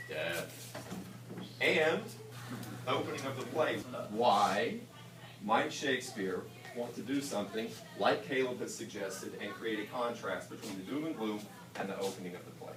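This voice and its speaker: A male English teacher leading a class discussion in a brisk, questioning way. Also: mid-range voice. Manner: brisk, questioning, plays off students. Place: school classroom. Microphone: camera across the room. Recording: roomy classroom from far off.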